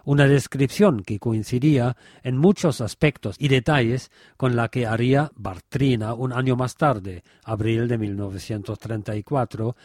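A man reads scripted narration from a book in a formal, measured way. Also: medium pitch, darkish tone, no echo, clean studio sound. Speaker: narrator reading a book extract.

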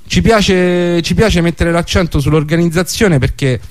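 A man in an unscripted radio discussion, speaking haltingly and circling back to restate his phrases. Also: high-pitched, no room sound.

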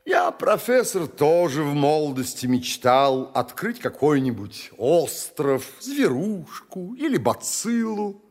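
Man putting on pompous gentleman passenger, raised pitch, hesitant, affected, wildly swooping, reverberant hall. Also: big pitch swings, takes it slowly, hardly any pauses, exaggerated voice. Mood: wistful.

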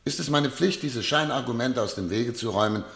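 A man playing a self-assured former prosecutor, now a judge, in a German radio play, with deliberate, oratorical delivery. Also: medium pitch, gravelly, fairly bright tone. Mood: oratorical, sarcastic. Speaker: actor in radio drama.

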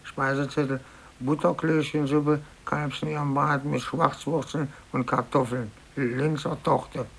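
A fussy older man grumbles his way through office life with a gravelly, creaky voice. He is pedantic and sour, muttering complaints in a 1950s radio drama style, over a clearly audible layer of tape hiss. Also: fairly high pitch, level tone, measured pace, fairly bright tone. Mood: grumbling. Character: grumpy, fussy older male office clerk. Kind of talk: 1950s German radio play acting. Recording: hissy 1950s radio play recording.

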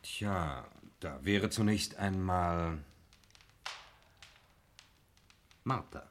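An actor playing a shrewd, courteous sergeant with a measured, insinuating manner, in a German 1960s radio play. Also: male voice, low-pitched. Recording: noisy vintage radio recording.

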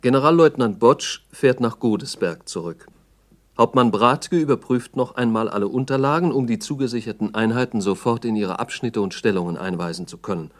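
A man narrating a 1960s radio play as a sober, formal, factual report. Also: fairly low pitch.

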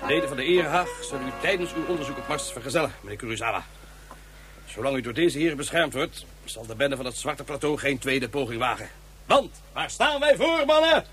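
A pompous police inspector. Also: male voice, medium pitch, big pitch swings, brisk. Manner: pompous and ceremonious. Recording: loud music under the voice.

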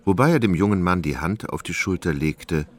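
A man's voice: sits low, expressive, brisk. A man narrating a literary radio drama as a scripted storyteller.

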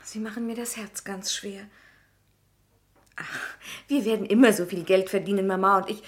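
An actress playing a devoted, modest young working-class sister in a German radio drama, earnest and heartfelt. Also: female voice, mid-range voice, fairly even intonation, moderate pace, natural voice. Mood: hurt, insistent.

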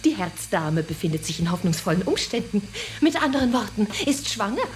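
An actress playing a bitter, mocking wife in a radio drama, sneering and contemptuous. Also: female voice, mid-range voice, big pitch swings, fairly quick, faint music behind, close to an everyday voice. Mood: bitter scorn.

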